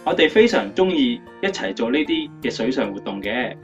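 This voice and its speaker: A man's voice: medium pitch, very animated, moderate pace, distant mic, close to an everyday voice. Radio drama: male actor as upbeat, playful young lover and speaker, dull recording over guitar. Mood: proud, upbeat. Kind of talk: scripted radio drama interview. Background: guitar music.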